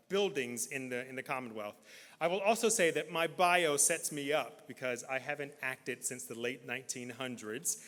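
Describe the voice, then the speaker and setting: Male reader, slightly high voice, lively stressed recital in a church hall. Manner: animated, rising emphasis on key words. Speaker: poem reader. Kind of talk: dramatic poetry reading at a live event. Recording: long reverb of a large hall.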